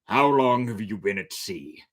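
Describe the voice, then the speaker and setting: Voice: medium pitch, lively intonation, a little slow, natural voice. A reader as a grave ship captain. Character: tense, firm male ship captain.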